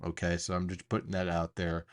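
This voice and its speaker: A man with a fairly low voice gives an unscripted weather briefing. He talks like a forecaster walking viewers through maps, explaining each point with emphasis and deliberate pauses. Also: monotone.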